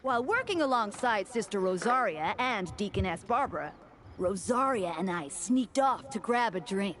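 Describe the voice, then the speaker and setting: A woman's voice: medium pitch, big pitch swings, normal speed, fairly bright tone. A woman playing a devout, polite young male deacon with an impish streak in a video game, speaking formally and earnestly. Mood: mildly sheepish. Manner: formal, courteous, playfully earnest. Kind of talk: scripted video game dialogue.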